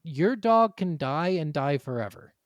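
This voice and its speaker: Higher-pitched man in casual gaming chat. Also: animated, unhurried, no echo, clean studio sound. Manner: informal, sweary, conversational. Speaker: podcast co-host.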